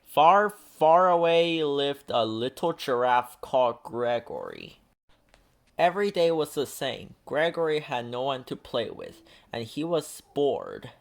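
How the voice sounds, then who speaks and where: A man reading a children's picture book aloud in a deliberate, expressive storytelling manner. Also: medium pitch, creaky. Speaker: storybook reader.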